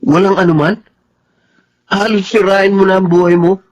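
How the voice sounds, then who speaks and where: High-voiced, preachy young Filipino man acted. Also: fairly even intonation, normal speed, natural voice. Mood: reproachful. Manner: preachy, admonishing. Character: earnest, scolding young man giving proverbial advice. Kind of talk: Filipino radio drama acting.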